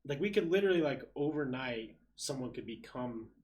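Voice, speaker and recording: A man's voice: medium pitch, animated, a little fast, a little mellow. Laid-back young man hosting a podcast. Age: 20s. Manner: laid-back, swears freely, laughs often. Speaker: podcast host. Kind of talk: casual chat. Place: small studio room with couches.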